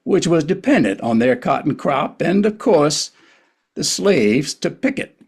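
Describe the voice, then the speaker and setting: A man's voice: on the high side, very animated, measured pace, husky. A man narrating a historical play in a scripted but conversational way.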